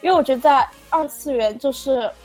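A woman with a slightly high voice answers questions as a guest. She talks casually and hesitantly, searching for her words. Quiet music sits behind her. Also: a little fast. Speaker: poster artist for an audio drama, as guest. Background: background music.

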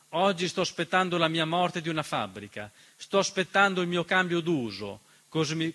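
A man's voice: fairly high pitch, pushed and bright. A man performing a scripted theatrical monologue as narrator, declamatory and accusatory, full of rhetorical questions. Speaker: stage narrator and writer-performer.

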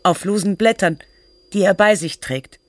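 A woman's voice: mid-range voice, normal speed, no room sound, lightly acted. A woman playing a sober, literary narrator and researcher in scripted documentary-style radio drama. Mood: measured, factual.

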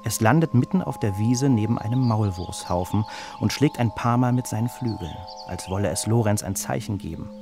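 Guy narrating a kids story, lowish voice, expressive storyteller, music playing. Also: fairly quick, creaky. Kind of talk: scripted children's story narration.

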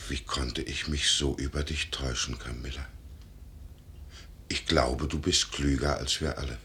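An actor in a German radio play as a melancholy, good-hearted suitor, husky, soft-spoken and earnest. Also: male voice, fairly even intonation, measured pace, a little hiss or hum, full character voice. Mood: tender, hopeful. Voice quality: husky, very deep.

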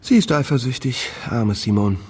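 An actor playing a bitter, obsessive man in a radio crime drama, speaking intensely with a husky voice. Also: mid-range voice, normal speed, darkish tone, natural voice. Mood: wounded, bitter. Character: bitter, obsessive man jealously claiming a lost limb.

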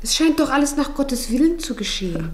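A woman's voice: sits high, sing-song, brisk. An actress playing a gushing, gossipy society lady in a 1950s German radio drama, with an affected, theatrical manner. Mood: affected concern. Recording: old radio recording, roomy, faint noise.

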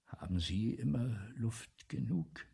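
An actor playing a debt-ridden, excitable playwright in a German radio play, with a husky, gravelly voice and agitated, theatrical delivery. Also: male voice, medium pitch, slow pace, exaggerated voice. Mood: harried. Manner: theatrical, agitated monologue with sudden outbursts.

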